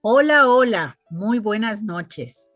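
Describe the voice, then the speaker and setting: A woman's voice: mid-range voice, animated, slow pace, fairly bright tone, no room sound, soft background music. A woman hosting an online interview, chatty and reading a guest's résumé aloud with asides. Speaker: interviewer and host. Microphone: video call.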